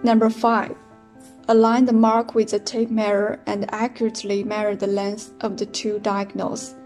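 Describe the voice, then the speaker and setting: A woman's voice: mid-range voice, monotone, normal speed, darkish tone, soft background music. A young woman with a Chinese accent, reading numbered machine setup steps in a plain, methodical way. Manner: plain, methodical step counting. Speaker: product demonstrator. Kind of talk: step-by-step instructional voice-over.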